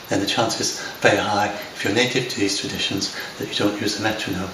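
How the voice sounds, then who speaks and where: Older English man, low-ish voice, halting chat to camera, distant, noisy home recording. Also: echoey room. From lives in Scotland. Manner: rambling, hesitant, many fillers and restarts. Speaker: software developer talking to camera. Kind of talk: unscripted talk to camera. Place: home room by large windows. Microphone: far camera.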